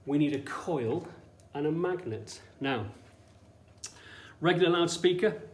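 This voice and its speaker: A man's voice: a little high, unhurried. A man teaching a hands-on science demonstration in an informal, explanatory way, often checking that listeners follow with short questions. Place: indoors in an echoey room. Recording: echoey room with faint background noise.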